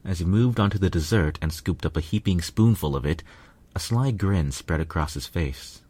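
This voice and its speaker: A man's voice: sits low, normal speed, warm tone, no room sound. A man reading scripted first-person fiction as its narrator.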